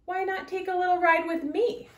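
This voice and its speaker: A woman's voice: extremely high pitch, level tone, normal speed, reverberant, voice pushed up. A woman voicing a sly, predatory male cowboy wolf who drawls pet names in a children's story. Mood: oily, menacing.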